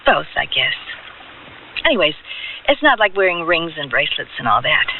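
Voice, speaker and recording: A woman's voice: sits low, very animated, moderate pace, narrow, tinny audio, very noisy. Naive young girl, thin radio sound. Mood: shy, playful. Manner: demure, hesitant, unschooled plain speech. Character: naive, hesitant young woman in summer romance. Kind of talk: radio drama acting with first-person narration. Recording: thin band-limited radio recording, loud music.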